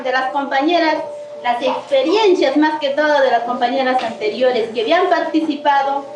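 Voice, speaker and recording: A woman gives a slow, reflective testimony built on images. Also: high-pitched. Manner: slow, metaphorical storytelling. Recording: echoey, distant mic with music.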